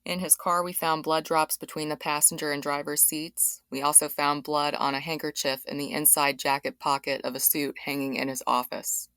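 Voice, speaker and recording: A female homicide detective on the witness stand in an audio drama. Her voice is low and recorded dry. She gives formal, factual testimony without fuss. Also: level tone, moderate pace, hardly any pauses, a bit bright, dry recording. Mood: matter-of-fact. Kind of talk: courtroom audio drama acting.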